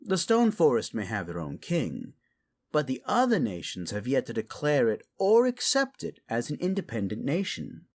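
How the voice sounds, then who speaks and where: An actor playing a courteous foreign lord who explains things in a fantasy audio drama. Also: male voice, medium pitch, wildly swooping pitch, normal speed, darkish tone. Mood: explanatory.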